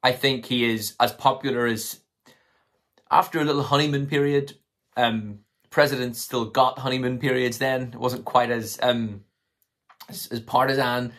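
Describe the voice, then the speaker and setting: Slightly hoarse young man, thinking aloud. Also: medium pitch, steady tone, moderate pace, a bit bright. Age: 20s. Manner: tentative, hedging, thinking aloud. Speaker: political commentary vlogger. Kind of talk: casual unscripted commentary to camera. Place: small room at home, indoors.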